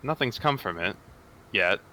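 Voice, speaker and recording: Deep, very bright and crisp man. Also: expressive, measured pace. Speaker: participant in a group reading. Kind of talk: casual commentary and reading aloud.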